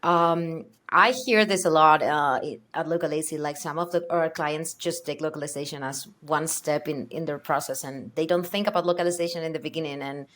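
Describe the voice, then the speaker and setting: A woman's voice: sits low, fairly even intonation, a little fast, few pauses, a bit bright. Woman from Spain hosting a podcast. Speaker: podcast host. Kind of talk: casual interview chat.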